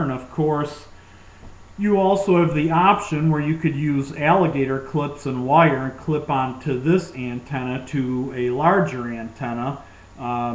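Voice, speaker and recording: A man's voice: mid-range voice, darkish tone. A man giving an informal, enthusiastic product demonstration as a presenter. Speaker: presenter demonstrating survival gear. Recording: roomy, echoing sound.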